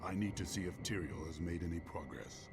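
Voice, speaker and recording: An actor playing a resolute, grim warrior hero in a video game, speaking in stern declarations. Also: male voice, low-pitched, fairly quick, very noisy. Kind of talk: video game dramatic acting.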